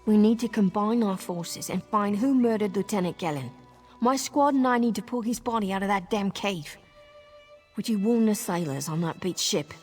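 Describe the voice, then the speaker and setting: An actress playing a brisk, troubled female marine squad leader in a fantasy video game. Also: mid-range voice, darkish tone, breathy edge, soft background music, natural voice. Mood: grim, urgent. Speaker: military officer. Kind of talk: scripted video game dialogue.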